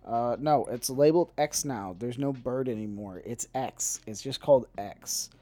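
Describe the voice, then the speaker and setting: A man co-hosting a casual nerd-news podcast, talking in a halting way and often stumbling over his words. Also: medium pitch, warm tone, no echo. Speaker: podcast co-host.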